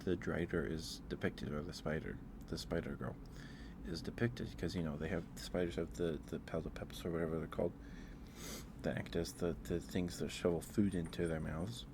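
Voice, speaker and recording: A man's voice: low voice, fairly even intonation, moderate pace, gravelly, noisy background. A man hosting a casual ASMR livestream, talking in a rambling, hesitant way and often repeating his phrases. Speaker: livestream host.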